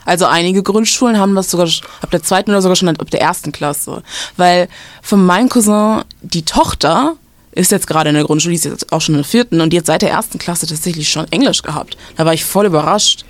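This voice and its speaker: A woman's voice: on the low side, fast talker, dry recording. A young woman from western Germany giving casual school advice, explaining at length with many fillers and restarts.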